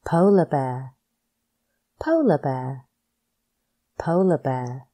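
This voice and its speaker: A woman English teacher reading scripted pronunciation practice with deliberate, careful enunciation. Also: rumbling low, very animated, warm tone. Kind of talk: scripted lesson narration, reading words for practice.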